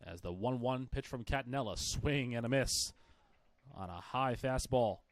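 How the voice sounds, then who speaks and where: A man's voice: mid-range voice, moderate pace, no echo. A man calling a baseball game live as play-by-play commentator.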